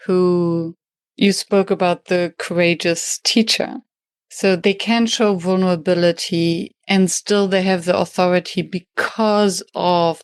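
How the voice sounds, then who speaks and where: A woman with a German accent hosts the conversation in a slightly low voice, recorded dry and close. She thinks aloud quickly and restarts her phrases along the way. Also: smooth, no echo. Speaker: podcast host and facilitation trainer. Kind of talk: conversational interview.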